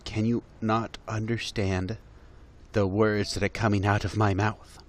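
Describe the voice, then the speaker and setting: A man's voice: on the low side, level tone, unhurried. A man giving exasperated, mocking reaction commentary on a video, laughing through his remarks.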